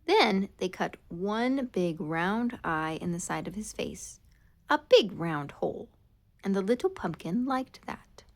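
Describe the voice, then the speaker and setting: Female storybook reader for children: expressive and dramatic, slow delivery with very animated intonation. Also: medium pitch. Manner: dramatic, expressive read-aloud with drawn-out words. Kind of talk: scripted children's storytime narration.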